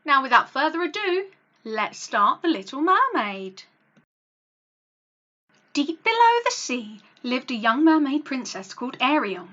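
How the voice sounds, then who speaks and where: A woman tells a children's story in a fairly high, very lively voice, hosting a read-along. Her delivery is dramatic and expressive, pitched for young listeners. The sound is slightly dull and lo-fi. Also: unhurried. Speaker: storyteller, channel host.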